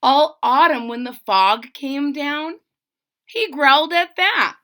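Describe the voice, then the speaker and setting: A woman's voice: sits high, takes it slowly, sharp tone. A woman reading a children's picture book aloud expressively, with explanatory asides as a storyteller.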